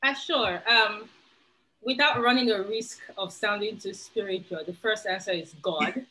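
A woman's voice: medium pitch, measured pace, bright, forceful tone, rough recording. A young Nigerian woman with a Nigerian accent, a webinar panelist giving earnest, encouraging advice over a video call.

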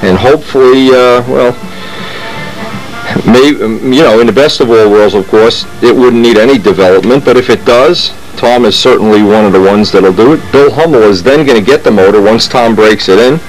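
A man at a middle pitch narrating his home workshop in a loose, rambling way, often stopping to restart a sentence. His voice comes through an old camcorder microphone on tape, and faint music plays underneath. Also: moderate pace, rough recording. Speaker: model airplane builder and channel host.

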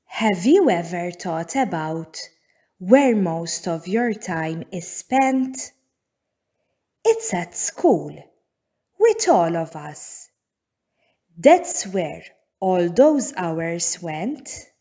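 A woman reading a children's picture book aloud as narrator, in deliberate, measured phrases with frequent pauses. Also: on the low side, sing-song, warm tone. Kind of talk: scripted storybook narration for young children.

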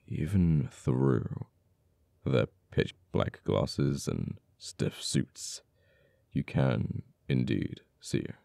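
An actor playing an uptight government official in an ASMR roleplay, cold and teasing toward a captured old friend, speaking deliberately with dramatic pauses. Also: male voice, a bass voice, vocal fry, warm tone, dry recording, studio quality, heavily acted. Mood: wistful teasing.

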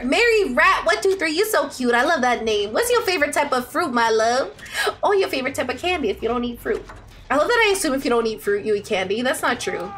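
A woman's voice: sits high, slight background noise. A young woman chatting playfully on a game livestream, reading out viewer messages with dramatic, gushing affection. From Ontario, Canada. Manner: animated and playful, with dramatic outbursts and gushing endearments. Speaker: live streamer. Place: indoor room at a streaming setup.

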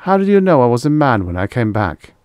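A man's voice: mid-range voice, big pitch swings, brisk, a little mellow, clean tone, dry recording, pitched above the performer's own voice, natural voice. A wary young man from an adventure audiobook, voiced by a male reader. He sounds guarded and evasive, nervously bluffing his way along in a dry, close recording.

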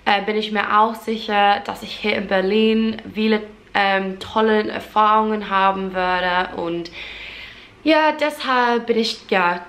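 A young Englishwoman sits on a sofa and talks to a close camera in German, which is not her first language. She speaks slowly, at a middling pitch, with an English accent. She keeps breaking into fillers and self-corrections in a chatty, self-mocking way. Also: steady tone, fairly bright tone. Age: young adult. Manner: chatty, self-deprecating, many fillers and restarts. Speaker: vlogger. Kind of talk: casual Q&A chat in German as a learner. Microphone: camera at close range.

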